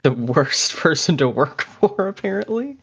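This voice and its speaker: A man's voice: on the high side, very animated, unhurried, fairly bright tone, breathy edge. A man co-hosting a podcast in a casual, chatty style. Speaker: podcast co-host. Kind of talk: casual chat. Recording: dull, lo-fi audio.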